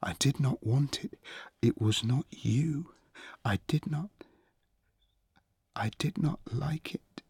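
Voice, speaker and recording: Man acting a higher-voiced, earnest young prince. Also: slow pace, vocal fry, pauses often, a little mellow, husky, no room sound, studio quality, heavily acted. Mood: ashamed. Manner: urgent, emotional.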